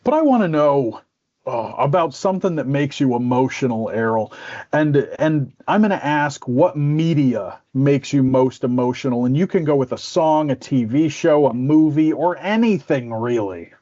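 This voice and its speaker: A man's voice: medium pitch, lively intonation, normal speed, warm tone, lo-fi sound. A man hosting an interview podcast in a playful, joking way. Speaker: podcast host.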